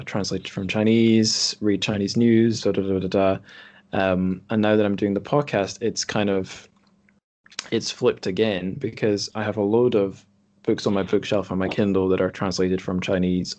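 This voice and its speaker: A man's voice: fairly low pitch, darkish tone. A man hosting a podcast, talking casually in a rambling, reflective way. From Scotland. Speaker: podcast host. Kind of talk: casual conversation. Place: group online call.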